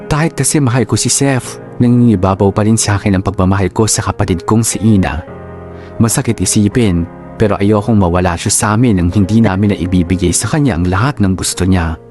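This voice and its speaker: A man's voice: fairly low pitch, fairly quick, darkish tone. A man with a Filipino accent narrating a scripted radio drama in the first person as storyteller. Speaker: storyteller host. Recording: music under the voice.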